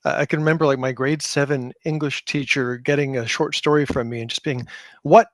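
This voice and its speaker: A man's voice: mid-range voice, steady tone, fairly quick, no room sound. A middle-aged man telling anecdotes casually as an interview guest, repeating words for emphasis. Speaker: podcast guest, game creator; high school teacher.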